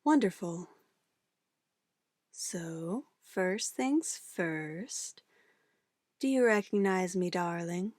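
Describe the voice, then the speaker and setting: A woman's voice: on the low side, animated, drawn-out words, pauses often, a little mellow, no room sound. Woman acting as an obsessive, controlling witch, close and dry. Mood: possessive, controlled. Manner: coaxing endearments turning to firm commands. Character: obsessive, controlling young witch, sweetly menacing yandere lover. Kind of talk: ASMR roleplay acting.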